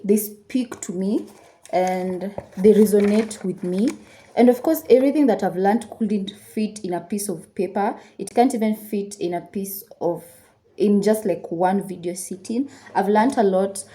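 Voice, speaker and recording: A woman's voice: medium pitch, dark tone. A woman, chatting casually to her viewers while she does her makeup, slipping Swahili phrases into her English. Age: 20s. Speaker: lifestyle YouTuber. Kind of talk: chatty get-ready-with-me talk while doing makeup. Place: indoors at home.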